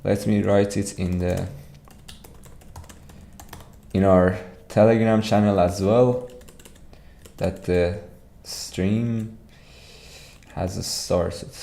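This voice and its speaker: Iranian-accented young man, livestream host, slow and low-voiced, chatty and restarting words. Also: vocal fry, mellow. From Iran. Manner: chatty, repeats words, answers viewer comments. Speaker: streamer. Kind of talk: casual livestream chat.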